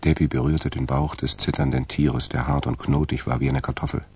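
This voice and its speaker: A man with a gravelly, creaky voice, narrating a radio crime drama from a script. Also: extremely low pitch, fairly even intonation, brisk, a little mellow, heavily acted.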